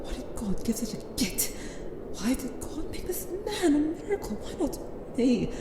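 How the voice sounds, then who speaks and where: A woman's voice: high voice, big pitch swings, moderate pace. Volatile Victorian woman played by an actress, echoing. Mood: seething. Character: bitter, envious Victorian woman writer, volatile, superficially docile. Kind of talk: theatrical audio drama monologue and dialogue. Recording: reverberant, with loud ambient noise.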